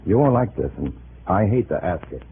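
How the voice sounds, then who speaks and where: A man's voice: low-pitched, big pitch swings, fairly quick. An actor playing a troubled undercover man pleading with his family in a 1950s radio drama. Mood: uneasy, pleading. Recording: tinny, noisy old radio recording.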